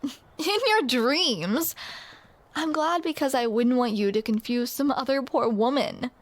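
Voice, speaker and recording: A woman's voice: high voice, a little slow. A young woman performing a scripted romantic roleplay to the listener, with playful, teasing banter.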